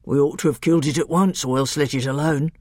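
Storybook dwarf, brisk and blustering, decent at heart, read by a narrator. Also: mid-range voice, fairly even intonation, a little mellow, no echo, natural voice. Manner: brisk, indignant.

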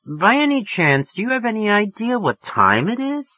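A man's voice: fairly high pitch, very animated, moderate pace, breathy edge, no room sound, narrow, tinny audio, pitched above the performer's own voice, full character voice. A man voicing a brassy, slangy young woman in a story, with a raised, affected feminine voice. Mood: coyly wary. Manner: sharp, slangy, turning affectedly genteel. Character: brassy, irritable young working woman, formerly burlesque, turning coy.

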